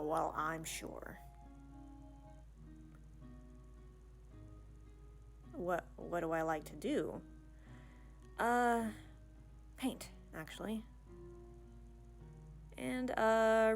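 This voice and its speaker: A woman's voice: mid-range voice, expressive, slow, gravelly, pauses often, soft background music. A woman playing an awkward, bashful young princess in a fantasy audio roleplay, hesitant and stammering, rambling in casual modern phrases. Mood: shy, enthusiastic. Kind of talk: ASMR romantic fantasy audio roleplay, one-sided dialogue.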